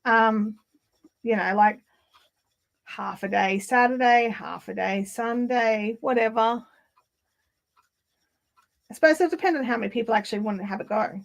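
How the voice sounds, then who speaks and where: A woman hosting a casual craft livestream, chatting in a rambling way with lots of fillers and restarts, her throat slightly raspy. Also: on the high side, normal speed, pauses often. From Australia. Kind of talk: casual live chat while spinning. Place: home craft room.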